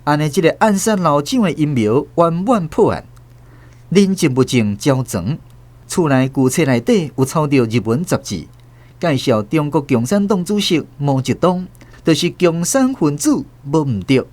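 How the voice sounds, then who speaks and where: A man plays a stern secret-police agent in a Taiwanese-accented radio drama. His voice sits fairly high and swoops dramatically, with officious, commanding delivery. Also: animated, normal speed, a little mellow, close to an everyday voice. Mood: triumphant, officious.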